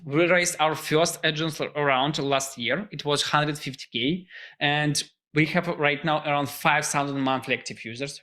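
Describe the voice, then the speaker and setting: A man with a Russian accent, Russian his first language, giving an earnest startup pitch as founder. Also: bright, forceful tone. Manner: earnest, non-native phrasing.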